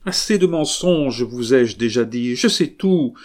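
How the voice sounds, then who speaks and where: A man's voice: medium pitch, expressive, a little slow, a little mellow, natural voice. A man reading aloud and voicing a proud, jealous young Creole wife who accuses her husband in an imperious way. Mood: cold fury. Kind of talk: audiobook reading.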